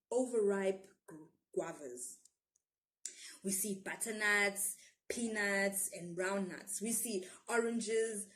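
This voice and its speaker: A woman's voice: mid-range voice, rough recording. A woman with a South African accent reads a children's story expressively as a storyteller.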